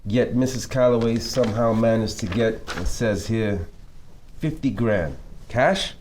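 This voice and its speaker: A man's voice: fairly low pitch, measured pace, darkish tone, a little hiss or hum, close to an everyday voice. A man playing a hard, driven young prohibition agent, pointed and insistent, in a script table reading. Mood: suspicious, determined.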